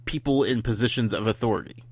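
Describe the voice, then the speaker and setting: A man co-hosting a casual podcast, playful and quick with interjections and teasing questions. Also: on the low side, breathy edge, no echo. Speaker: podcast co-host.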